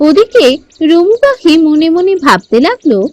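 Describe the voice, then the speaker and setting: A woman's voice: very high-pitched, big pitch swings, normal speed, a little mellow, no room sound. A woman narrating a children's fairy tale cartoon in a scripted storytelling style.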